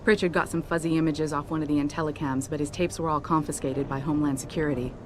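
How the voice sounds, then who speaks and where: An actress playing a confident, friendly young company pilot in a video game, speaking casually and frankly. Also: female voice, sits low, steady tone, fast, a little hiss or hum, close to an everyday voice. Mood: frank, matter-of-fact.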